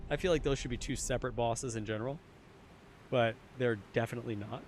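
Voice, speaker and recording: Slightly hoarse male streamer. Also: medium pitch, steady tone, a little fast, slight background noise. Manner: chatty, answers chat, repeats phrases. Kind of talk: casual live-stream chat while gaming.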